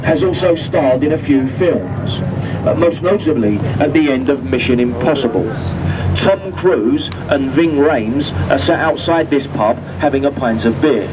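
A man's voice: medium pitch, lively intonation, unhurried, distant mic. Man, tour commentary over a boat PA, heard from afar, engine and street noise behind. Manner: chatty, jokey tour patter. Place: open deck of a river boat, outdoors. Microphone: handheld microphone over a boat speaker, filmed from a distance.

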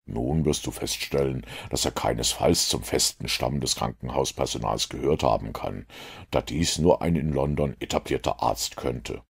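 A man voicing a self-assured, analytical detective in an audiobook, with a gravelly, husky voice and a measured, lecturing manner. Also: extremely low pitch, exaggerated voice. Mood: self-assured, reasoning. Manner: measured, didactic, superior. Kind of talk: audiobook character reading.

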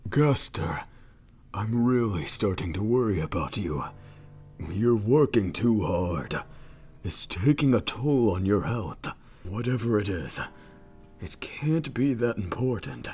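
A man voicing a big, gentle, fatherly monster king in a comic dub, worried, earnest and solemn. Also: on the low side, animated, takes it slowly, breathy edge, faint music behind, exaggerated voice.

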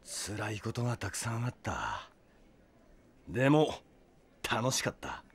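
A man's voice: fairly low pitch, wildly swooping pitch, unhurried, breathy edge, heavily acted. An actor playing a brash, sentimental young Frenchman in a Japanese audio drama, heartfelt and dramatic. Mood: tender, wistful. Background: music.

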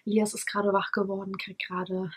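A woman's voice: mid-range voice, little pitch movement, a little fast, slightly rough. Chatty German woman showing her shopping haul. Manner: chatty, showing products one by one, lots of fillers. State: slightly stuffy nose. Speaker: vlogger. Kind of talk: casual haul vlog. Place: living room at home. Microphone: camera on tripod at close range.

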